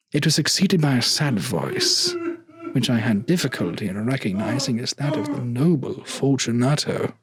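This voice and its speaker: A man playing a cold, vengeful nobleman who narrates a gothic horror story in a theatrical, formally phrased manner with a husky voice. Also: mid-range voice, no echo, exaggerated voice. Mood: dark suspense. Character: cold, vengeful murderous nobleman recounting his crime.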